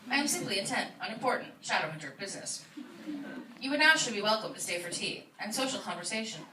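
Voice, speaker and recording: A woman as a bright, officious leader. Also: medium pitch, big pitch swings, fast talker. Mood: officious, flustered. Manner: officious, formal. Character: stiff, self-important, flustered woman in charge. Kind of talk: comic dramatic reading. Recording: roomy, distant old iPod recording, faint noise.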